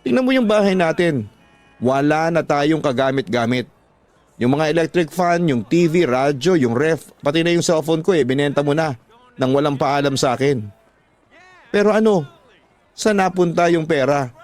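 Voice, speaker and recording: A man's voice: fairly high pitch, animated, moderate pace, a little mellow, natural voice. A man playing a frustrated husband in a radio drama, emphatic, scolding and pleading. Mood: angry scolding. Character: frustrated husband pleading with gambling-addicted wife. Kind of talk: Tagalog radio drama acting.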